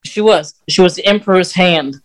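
A man with a fairly high voice talks casually as a podcast co-host. He explains fan lore in a halting way, often pausing in the middle of a sentence. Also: poor audio.

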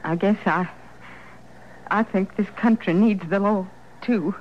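Actress as an unhurried, uneasy American frontier woman. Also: mid-range voice, natural voice. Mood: subdued, sorry. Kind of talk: 1950s radio western acting. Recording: dull, noisy vintage radio drama recording.

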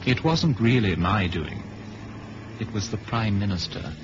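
An actor playing a breezy senior intelligence chief in a 1970s radio drama, brisk, assured and patronising in an avuncular way. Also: male voice, lowish voice, animated. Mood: confiding. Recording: muffled, noisy old radio recording.

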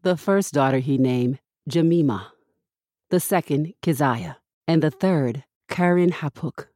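A woman reading scripture from a script as a podcast host, with measured, deliberate phrasing. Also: sits low, warm tone, no echo, clean studio sound.

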